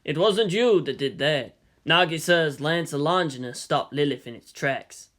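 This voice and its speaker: A narrator playing a weary male army general, formal and measured, who reasons and pleads firmly. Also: mid-range voice, a bit bright, close to an everyday voice. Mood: awkward, official. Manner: measured, official, placating.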